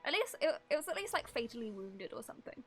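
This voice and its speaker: A young woman giving casual, giggly live reactions as she streams a game, rambling in an animated way. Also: sits high, quick pace. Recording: loud game music behind.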